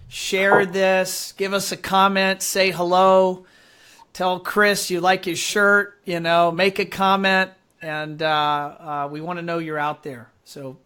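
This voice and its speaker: A man hosting a live-streamed interview show, chatty and upbeat with many fillers, his voice raspy and hoarse from allergies. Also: high-pitched, a bit bright. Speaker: host.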